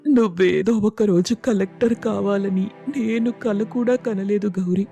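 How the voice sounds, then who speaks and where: A man's voice: high voice, fairly even intonation, measured pace, creaky, warm tone, soft background music, voice pushed up. A man voicing an emotional village mother, proud yet worried for her daughters, in a moral story. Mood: proud, wistful. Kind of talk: dramatised moral story acting.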